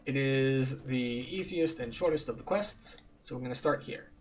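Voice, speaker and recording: A man's voice: mid-range voice, normal speed. A man giving casual, explanatory walkthrough commentary as a video game guide. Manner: informal, explanatory. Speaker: gaming guide host.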